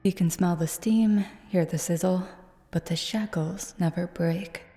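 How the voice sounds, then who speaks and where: A woman playing a cool, low-voiced vampire hunter. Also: fairly even intonation, a little slow, husky. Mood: grim, instructive. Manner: low, commanding, teasing, often sinking to a hush. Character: cool, hardened female vampire hunter mentoring a rookie. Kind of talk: ASMR audio roleplay. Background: faint ambient soundscape.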